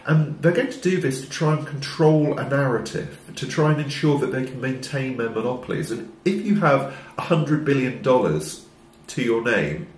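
A man, who has a cold, giving a wry, measured opinion vlog to camera in a small room. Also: medium pitch, reverberant. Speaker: commentator. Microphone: wired-in microphone facing camera.

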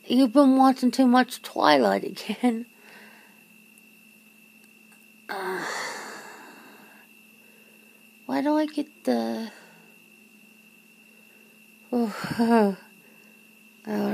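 Girlfriend role for intimate ASMR roleplay, female actor: nervous, disbelieving, teasing, halting delivery, unhurried, with vocal fry. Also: fairly high pitch, a little mellow, exaggerated voice, low-quality recording. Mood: amused disbelief, then nervous, alarmed. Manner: halting, breathy sighs and chuckles, often dropping to a whisper. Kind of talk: ASMR roleplay, one side of an intimate conversation.

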